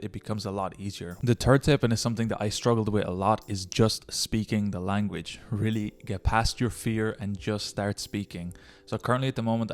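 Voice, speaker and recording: A young man giving encouraging language-learning tips in a casual vlog, talking straight to the viewer. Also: fairly low pitch. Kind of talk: casual tutorial vlog talk.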